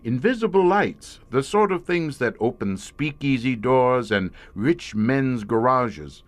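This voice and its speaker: An actor playing a middle-aged police inspector, a former professor haunted by a secret horror, brooding and theatrical in an old-time radio horror drama. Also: male voice, mid-range voice, lively intonation, slow pace, no echo. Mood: explaining, wry.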